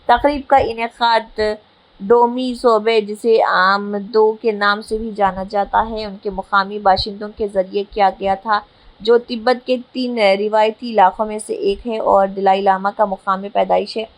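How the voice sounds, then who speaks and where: A woman's voice: medium pitch, low-quality recording. A woman news presenter reading scripted news in a formal read-out manner, with an occasional stumble.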